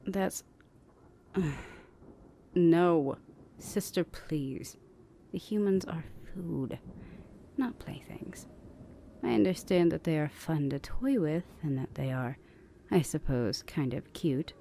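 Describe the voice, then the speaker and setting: A woman voicing a stern, weary older vampire sister in a measured, condescending ASMR roleplay, often half-whispering. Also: low-pitched, gravelly, warm tone, a little hiss or hum, full character voice. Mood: exasperated, firm.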